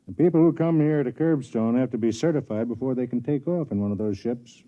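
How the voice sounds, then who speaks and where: An actor playing a kindly, self-deprecating older man, a senior officer who also narrates, in 1950s radio drama style with a measured, explanatory manner. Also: mid-range voice, close to an everyday voice. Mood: grave, explanatory. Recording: dull 1950s radio broadcast, music behind.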